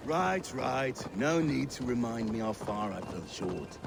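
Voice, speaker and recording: Animated, earnest warrior comrade. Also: male voice, mid-range voice, measured pace, gravelly, background noise. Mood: defensive. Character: earnest, hopeful male warrior comrade, wryly defensive.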